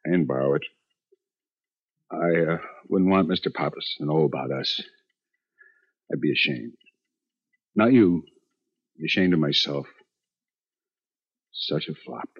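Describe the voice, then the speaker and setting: An actor playing a feckless, good-hearted husband who jokes and rambles haltingly in a 1950s radio drama. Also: male voice, a bass voice, expressive, heavily acted. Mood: exhausted, ashamed. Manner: halting, rambling, self-deprecating. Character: feckless, joking husband, proud but ashamed, good-hearted. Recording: dull 1950s radio recording.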